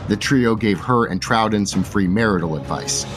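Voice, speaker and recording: A man with a fairly low voice hosts a tabletop roleplay show as its dungeon master, moving between a bright announcer-style welcome and a steady story recap. Music plays clearly beneath him. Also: normal speed. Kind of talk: podcast intro and recap narration.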